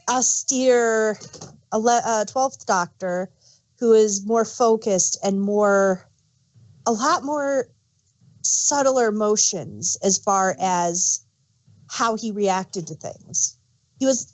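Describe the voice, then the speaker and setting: A woman's voice: a little high, poor audio. A woman co-hosting a casual fan discussion, rambling and searching for words as she gives emphatic examples. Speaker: podcast co-host.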